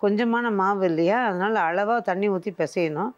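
A woman's voice: medium pitch, normal speed, highs cut off. An older woman presenting a cooking show, patiently explaining each step as she works in a studio kitchen.

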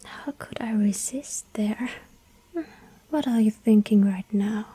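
A woman voicing a lonely yandere vampire girl in an ASMR roleplay, whispering softly and pleading. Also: mid-range voice, steady tone, takes it slowly. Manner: breathy soft whisper, pleading.

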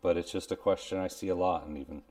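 A man's voice: low-pitched, fairly even intonation, quick pace, a little mellow, distant mic. A man in his forties hosting a casual product review to camera, explaining things in a plain-spoken way. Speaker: host. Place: indoors, small room at home. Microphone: clip-on lavalier on shirt.

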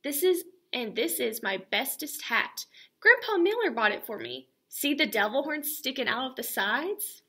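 A woman doing a loud, bossy little girl of six. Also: high-pitched, sing-song, normal speed, husky, full character voice. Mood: loud, insistent. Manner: loud, squealing and insistent. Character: bold, bossy almost-six-year-old girl. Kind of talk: children's book read-aloud. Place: school classroom, indoors.

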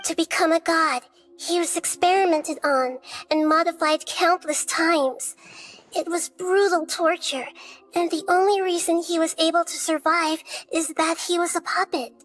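A wise child goddess from a video game. Her voice is high and gentle. She speaks in measured, solemn phrases like a storyteller, over music. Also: steady tone. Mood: grave explaining. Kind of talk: scripted video game dialogue.

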